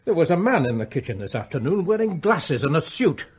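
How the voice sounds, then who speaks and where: A man's voice: fairly high pitch, big pitch swings, brisk, a little hiss or hum. An actor playing a rich, lordly older English magnate in a radio play, pompous and pontificating to his servant. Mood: imperious.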